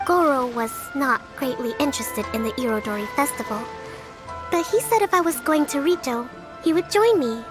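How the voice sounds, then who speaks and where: A woman voicing a gentle, bookish young priestess who leads, speaking politely and earnestly. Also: sits high, lively intonation, moderate pace, music in the background.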